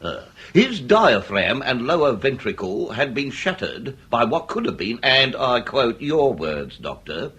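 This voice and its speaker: An actor playing a pompous, irascible Victorian police inspector with a British accent, speaking in florid, blustering, orotund phrases for a comic period radio drama. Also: male voice, medium pitch, fairly bright tone, breathy edge, heavily acted.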